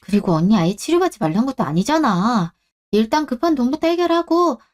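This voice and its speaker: A woman's voice: fairly high pitch, sing-song, brisk, darkish tone, slight background noise. A woman voicing a selfish young bride-to-be in a radio drama, petulant and entitled, with a whining, pleading manner. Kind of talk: Korean radio-drama story acting.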